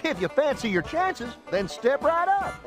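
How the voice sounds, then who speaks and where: A man's voice: very high voice, big pitch swings, normal speed. A man voicing a boastful cowboy showman in a cartoon game, with folksy barker patter. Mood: showy, folksy. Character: boastful cowboy showman challenging bronco riders. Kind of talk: cartoon game fan dub acting. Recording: music clearly behind.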